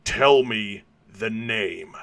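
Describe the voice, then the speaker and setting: A man's voice: sits low, flat delivery, slow pace, a bit bright, full character voice. A man voicing a grim, obsessed male vigilante who speaks in terse demands. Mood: grim, demanding.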